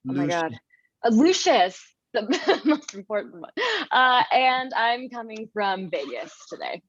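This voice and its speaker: A woman's voice: a little high, big pitch swings, a little slow. A woman actor casually introducing herself on a video call in a scattered, self-deprecating way. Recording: dull, dry video-call audio.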